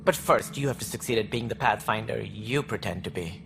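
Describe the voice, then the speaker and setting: An actor playing a calculating, self-assured alien director in a video game, speaking in a measured, formal, condescending way. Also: male voice, on the low side, vocal fry, noisy background. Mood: cool, challenging. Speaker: director of a space initiative. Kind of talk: video game cutscene acting.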